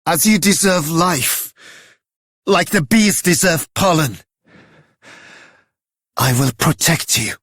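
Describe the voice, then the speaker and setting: Very slow, husky, devoted protector. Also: male voice, a little high, expressive, fairly bright tone, dry recording, clean studio sound, heavily acted. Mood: worried, devoted. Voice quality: husky, breathy. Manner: tender, earnest, halting. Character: devoted, sorrowful protector of a young woman. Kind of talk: dramatic video game voice acting.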